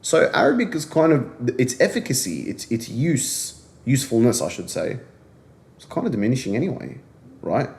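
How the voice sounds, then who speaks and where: A man's voice: medium pitch, sing-song, moderate pace, creaky, darkish tone. Casting: young male co-host, mid-range voice, emphatic and earnest, likes repeating for effect. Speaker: podcast co-host. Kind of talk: casual podcast discussion.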